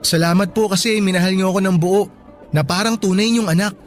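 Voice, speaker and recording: A man plays a teenage boy in a radio drama with a high young voice. He is earnest, polite and full of affection, with faint music behind him. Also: steady tone, a little fast, lightly acted. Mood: grateful, moved. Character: grateful, affectionate teenage boy, graduating student. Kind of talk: Tagalog radio drama acting.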